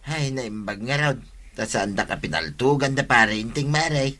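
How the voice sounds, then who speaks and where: A man's voice: medium pitch, moderate pace, pushed and bright, close to an everyday voice. Man playing a piercing, whiny hapless prankster. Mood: meek, apologetic. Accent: Ilocano. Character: whiny, hapless male village prankster, pleading. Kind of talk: Ilocano comedy radio drama acting.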